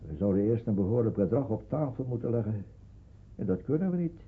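Very husky weary father, old radio. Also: lowish voice, sing-song, brisk. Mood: patient, resigned. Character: weary, patient, realistic father of a refugee family. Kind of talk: radio drama acting. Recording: thin, phone-like old radio recording.

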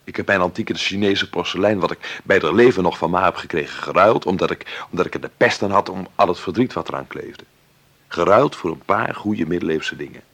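A sardonic, wounded brother with principles in a radio drama. The voice is deep, rough with heavy fry and husky, slipping into ironic muttered asides. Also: male voice, brisk, a bit bright, exaggerated voice. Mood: defensive. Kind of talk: Dutch radio play acting.

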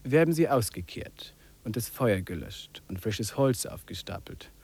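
An actor in a 1950s radio drama playing a wry, contemplative English trekker who muses and drops in English phrases. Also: male voice, fairly low pitch, lively intonation, moderate pace, darkish tone, husky. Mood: musing. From England. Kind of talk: 1950s German radio drama acting, reflective monologue and dialogue.

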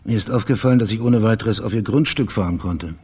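Casting: radio-play investigator, deep-set husky voice, courteous yet insistent questioner. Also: male voice, fast talker, darkish tone. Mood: politely probing. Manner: polite, insistent, matter-of-fact questioning. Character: probing, persistent male investigator on a case. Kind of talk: radio crime drama dialogue.

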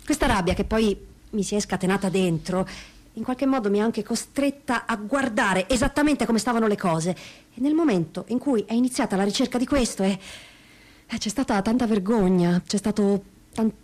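A woman's voice: mid-range voice, normal speed, a little mellow, lightly acted. A woman acting a troubled daughter burdened by family silence in a staged testimony reading, earnest and hesitant, often repeating phrases.

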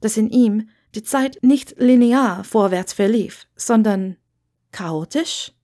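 A woman's voice: on the high side, slow, dark tone. A woman reading a scripted story as an audiobook narrator.

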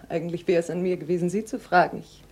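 A woman's voice: fairly low pitch, fairly even intonation, fast, a little mellow, breathy edge. An actress playing a reserved, serious lady with a curt, stern manner in a German radio play. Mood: cool, curt.

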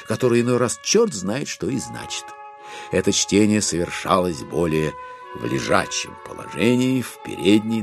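A man narrating a classic novel as a theatrical audiobook, in a wry, expressive way with deliberate pauses. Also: mid-range voice.

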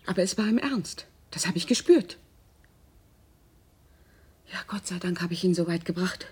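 A middle-aged mother in a radio play. Her voice is soft-edged and dark, with some huskiness. She speaks earnestly and confidingly, weighing her words and leaning on the important ones. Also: medium pitch, slightly rough. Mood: urgent. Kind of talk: naturalistic German radio drama acting.

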